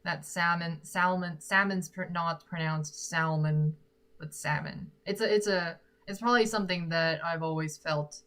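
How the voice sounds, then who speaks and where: A woman's voice: fairly low pitch, fairly even intonation, normal speed, a bit bright. Woman, young adult, giggly, rambling, casual viewer chat. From Korea. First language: Korean. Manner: giggly and rambling, thinking aloud. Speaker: singer-songwriter chatting with viewers. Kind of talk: casual chat answering live comments.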